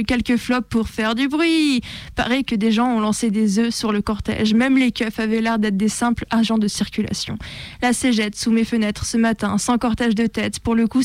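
Woman, French accent, fairly high voice, dry radio studio, scripted chronicle delivery, co-host. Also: darkish tone, dry recording.

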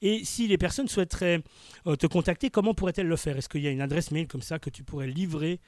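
A man's voice: on the high side, quick pace, darkish tone, no room sound. A man hosting a live radio interview from a studio, often rephrasing his questions as he goes. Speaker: radio host.

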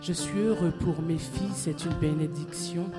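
Low-toned woman performing spoken word over loud music. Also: fairly even intonation, normal speed. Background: musical instrument playing.

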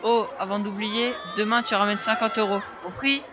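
A woman's voice: medium pitch, steady tone, moderate pace, close to an everyday voice. A girl acting a mocking, menacing teenage school bully in an audio drama, with a taunting manner. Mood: threatening. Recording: noisy recording, music and chatter behind.

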